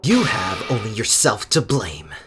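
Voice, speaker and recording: A woman playing a cold, haughty teenage boy in an anime game fandub, measured, condescending and disdainful. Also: a bass voice, sing-song, full character voice. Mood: scornful, cutting. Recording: loud music behind. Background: music.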